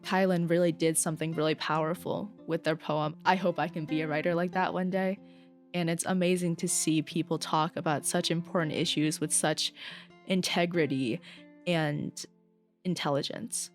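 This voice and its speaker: A woman's voice: low voice, fairly even intonation, normal speed, soft background music. A teenage girl hosting a student podcast, introducing stories in an upbeat, admiring way. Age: teen.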